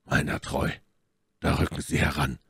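This is a man reading as a composed musketeer who takes command in an audiobook adventure. His voice is very low, measured and authoritative, with wry calm. The recording is dry and close. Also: gravelly, slightly rough, dry recording, exaggerated voice. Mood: deliberate, assured. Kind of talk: audiobook character dialogue.

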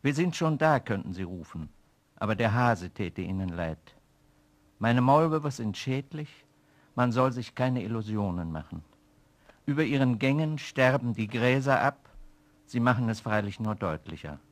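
Radio play reader, man, mid-pitched, calm and measured. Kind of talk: 1970s radio play narration.

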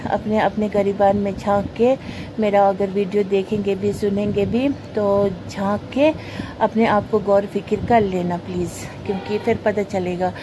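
A woman's voice: mid-range voice, background noise. A woman vlogger, giving an earnest, preachy monologue in a carpeted room at home. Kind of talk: moralizing monologue to camera. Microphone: phone camera pointed at the floor.

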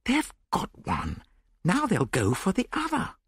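Earnest gentleman sidekick male narrator doing him, impatient, urgent, really lively pitch, clear audiobook. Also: measured pace, dry recording, clean studio sound, full character voice. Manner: urgent, tactless, polite.

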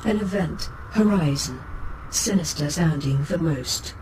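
An actor voicing a calm, knowledgeable artificial ship's computer, giving scripted encyclopedic narration in a measured, formal, precise manner. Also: mid-range voice, natural voice. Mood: calm, informative. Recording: clear noise, spaceship ambience behind.

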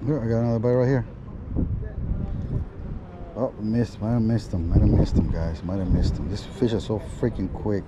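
Male fishing vlogger, lower-pitched, informal and slangy running commentary, outdoor action-camera audio with heavy wind noise. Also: fairly even intonation, normal speed, pauses often, dull audio. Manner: informal, slangy, addressing viewers as guys. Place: outdoors on an ocean fishing pier. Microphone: body-worn action camera. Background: loud wind and sea ambience.

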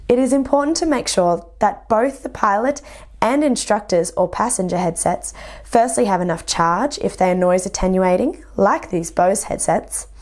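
Casting: young Australian female instructor, mid-pitched voice, methodical scripted training-video narration. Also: a little mellow. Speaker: flight instructor presenting a lesson.

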